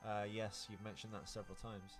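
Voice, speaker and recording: A man's voice: on the low side, level tone, fairly quick, faint music behind. A man co-hosting a podcast, chatting casually about films in a rambling, jokey way. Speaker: podcast co-host. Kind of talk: casual film chat.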